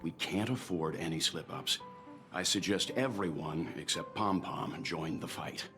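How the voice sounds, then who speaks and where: An actor playing a serious, prudent man in a video game, speaking in a grave, measured way. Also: low-pitched. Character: serious, prudent man weighing high stakes. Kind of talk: game character acting. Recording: music behind the voice.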